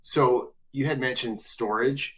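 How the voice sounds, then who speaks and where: A man's voice: mid-range voice, steady tone, slow pace, fairly bright tone. A man hosting a business webinar, asking long, loose questions full of fillers. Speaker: webinar host.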